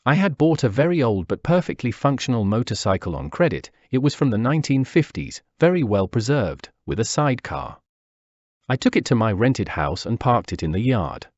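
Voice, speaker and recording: A man's voice: medium pitch, sing-song, normal speed, a little mellow, no room sound. A man, reading a wistful first-person memoir. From Peru. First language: Spanish. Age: 40s. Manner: wistful, reflective. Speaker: narrator. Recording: dull dry lo-fi recording.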